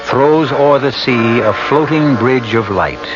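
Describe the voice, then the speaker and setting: A man hosting and narrating a radio mystery in a measured, dramatic, literary way. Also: mid-range voice. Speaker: host and narrator. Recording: muffled old radio broadcast, music behind.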